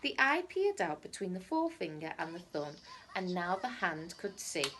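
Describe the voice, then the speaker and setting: A woman reading a children's story aloud like a teacher, in a dramatic, deliberate storytelling manner. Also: on the low side, sing-song, noisy background. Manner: dramatic, deliberate storytelling with emphatic repetition and hushed phrases.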